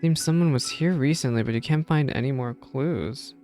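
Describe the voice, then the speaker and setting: A woman's voice: a bass voice, normal speed, mellow, soft background music. Woman streamer reacting to a game. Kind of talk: casual game reaction commentary, reading on-screen text aloud.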